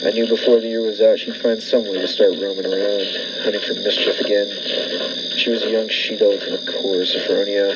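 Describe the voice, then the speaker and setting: A deranged, murderous husband played by a man in an old radio thriller, speaking low. He is folksy yet coldly menacing and insistent. The sound is dull, with clear noise over it. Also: level tone, a little fast, close to an everyday voice. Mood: calmly sinister. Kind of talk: 1940s radio thriller acting.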